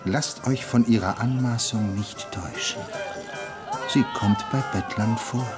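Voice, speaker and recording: A fairly deep-voiced courtier , acted by a man, formal and measured. He advises with patient, insinuating politeness in radio drama style, over loud music. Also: close to an everyday voice. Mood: persuasive. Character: smooth, patient courtier advising his king. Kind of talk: German radio play acting.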